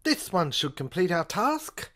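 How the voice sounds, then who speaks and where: A woman voicing a stern, commanding chief police detective in an audio play, with forceful, declamatory delivery. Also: fairly low pitch, sing-song, a little slow, heavily acted.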